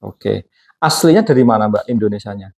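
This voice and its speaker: A man's voice: on the low side, lively intonation, a little fast, creaky, darkish tone. A man hosting a casual interview, prompting his guest with many questions and tag phrases. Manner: chatty, prompting questions with frequent tags. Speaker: host and hypnosis teacher.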